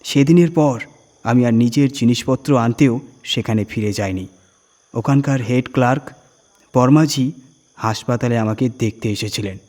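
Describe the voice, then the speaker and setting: A man reading a first-person horror story dramatically, in suspenseful short phrases with pauses. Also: medium pitch, darkish tone.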